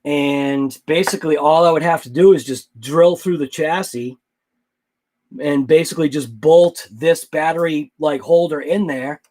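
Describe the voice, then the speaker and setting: Male hobby-channel host, mid-high voice, loose rambling livestream delivery, chatting with viewers. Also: fairly even intonation, a little slow, darkish tone. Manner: rambling, thinks aloud, answers live chat. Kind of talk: casual late-night livestream chat.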